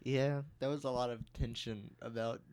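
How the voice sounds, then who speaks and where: A man's voice: mid-range voice, steady tone, moderate pace, a little mellow, clear, resonant tone, no room sound. A young adult man co-hosting a podcast, telling anecdotes and bantering in a casual way. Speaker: podcast co-host.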